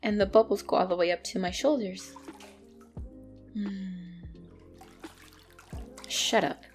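A woman's voice: mid-range voice, fairly even intonation, slow pace, faint music behind, close to an everyday voice. A woman playing a bratty, easily flustered young tsundere catgirl in an ASMR roleplay, speaking indignantly and defensively to the listener. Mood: grudgingly content.

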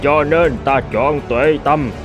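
A man voicing a solemn old Buddhist abbot in a cartoon, speaking in a measured, instructive manner. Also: a little high, steady tone, highs cut off, music in the background. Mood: solemn, teaching. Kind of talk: animated folk tale dialogue.

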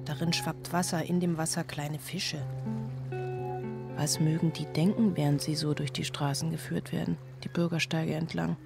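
Weary single mother, radio drama. Lower female register, inward and brooding, music behind. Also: level tone, normal speed, gravelly. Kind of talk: radio drama interior monologue.

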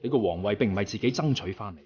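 Actor as earnest young rebel leader, lively delivery. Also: male voice, fairly low pitch, racing, lo-fi sound, a crowd in the background, lightly acted. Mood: persuasive, stirring. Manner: declamatory, formal rhetoric. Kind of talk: Cantonese radio drama acting.

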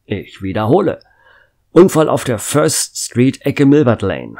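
An actor playing a chatty, joking small-town radio DJ in a radio drama. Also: male voice, mid-range voice, big pitch swings, a little slow, darkish tone. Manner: chatty, jokey. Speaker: radio host.

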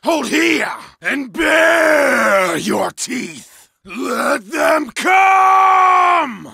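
Gravelly feral berserker warrior. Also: male voice, very high-pitched, sing-song, extremely slow, bright, forceful tone, no echo, shouting, clean studio sound, full character voice. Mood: commanding, fierce. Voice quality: gravelly, growling. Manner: archaic phrasing, fierce short barks and battle cries. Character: savage, feral wolf-pack berserker warrior, male, fearless. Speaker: game character voice actor. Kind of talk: medieval combat game voice lines.

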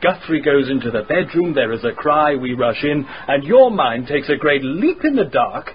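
An actor playing a sharp young gentleman sleuth in a radio mystery, probing and dryly sceptical. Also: fairly high pitch, very animated, normal speed. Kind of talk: dramatic radio acting. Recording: dull, faintly noisy radio recording.

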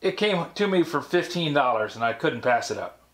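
A man's voice: on the high side, lively intonation, a little fast, a bit bright. An older man giving a casual, folksy tour of his workshop, rambling through anecdotes with dry asides. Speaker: woodworker giving a shop tour. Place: cluttered basement workshop, indoors. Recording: echoey workshop room.

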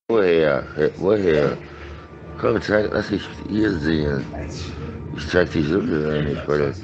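A man's voice: very deep voice, muffled recording, background noise. A middle-aged man in a casual, rambling monologue, insistent and disjointed, repeating himself, speaking close into a phone.

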